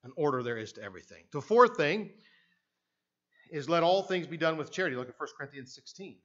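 A man's voice: a little high, lively intonation, a little fast, a bit bright, lo-fi sound. An older Canadian man preaching a sermon in a church sanctuary, talking conversationally with restarts and frequent "right?" asks, pacing around a podium microphone. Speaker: pastor. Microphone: podium mic, speaker often walking away from it.

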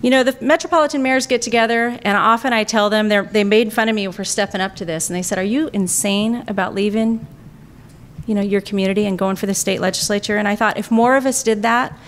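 A woman's voice: medium pitch, fast, slight background noise. Confident woman giving a rousing campaign speech. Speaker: political candidate. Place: banquet room. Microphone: podium microphone.

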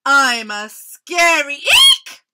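Woman putting on an excitable, high-pitched storybook tiger. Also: drawn-out words, creaky, very noisy, full character voice. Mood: boastful, squealing. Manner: squealing, dramatic. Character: excitable, boastful male tiger, easily scared.

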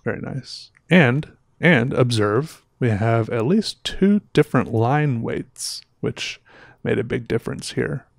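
A man in his thirties talks in a loose, rambling way, often cutting himself off mid-sentence. His voice has a gravelly edge and a slightly sore throat. It is recorded close on a headset mic in a small, dry room. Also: mid-range voice, lively intonation, slow pace, no echo. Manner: rambling, self-interrupting, chatty. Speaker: artist reviewing viewer art. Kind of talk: casual livestream commentary. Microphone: headset with boom mic.

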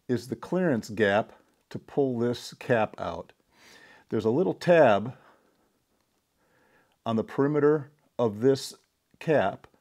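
A middle-aged man giving a casual, deliberate, step-by-step hands-on repair tutorial. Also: mid-range voice, dark tone. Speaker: DIY repair demonstrator. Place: indoor home workshop.

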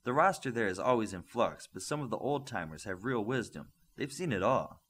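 A man's voice: on the low side, expressive, brisk, a bit bright, breathy edge. A man voicing a proud, eager male superhero team coach in an audiobook, chatty and emphatic. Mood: collegial.

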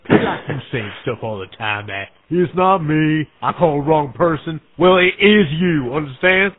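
A man's voice: on the high side, expressive, normal speed, dry recording. A man playing a pushy, scolding small-claims court official in a streetwise, informal, confrontational prank call. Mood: irritated, pushy. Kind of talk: pre-recorded prank call acting. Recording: tinny phone line.

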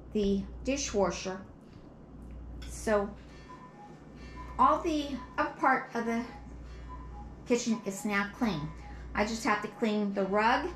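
A middle-aged woman explains things plainly, step by step, in a casual home vlog, recorded by a camera a few metres away in a kitchen. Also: fairly high pitch. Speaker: home cleaning vlogger. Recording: roomy echo, clear background noise.

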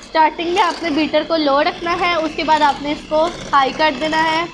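A woman's voice: high-pitched, little pitch movement, moderate pace, background noise. A woman from Pakistan, patiently explaining a recipe step by step as a cooking channel host. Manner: patient, instructive, addresses viewers directly.

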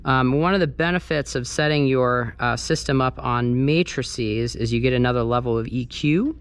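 A man's voice: mid-range voice, normal speed. A man giving a casual, hands-on tutorial as a sound engineer, talking informally while he works. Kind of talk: casual first-person tutorial walkthrough. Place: large carpeted banquet hall with wooden dance floor. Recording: noisy room ambience, clearly audible. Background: room noise and ambience.

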